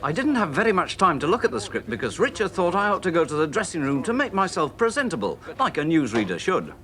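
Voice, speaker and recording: A man's voice: on the high side, very animated, fast. A man from Halifax with a Yorkshire accent, chatting and narrating on a 1970s children's TV show in a self-deprecating way. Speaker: children's TV presenter. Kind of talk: casual chat and narration. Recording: old 1970s TV archive sound.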